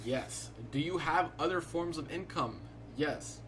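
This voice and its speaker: A man's voice: a little high, measured pace, fairly bright tone, slight background noise, poor audio. A young man hosting a live stream, chatting casually in a laid-back, rambling way and filling his talk with "like". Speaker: livestreaming day trader.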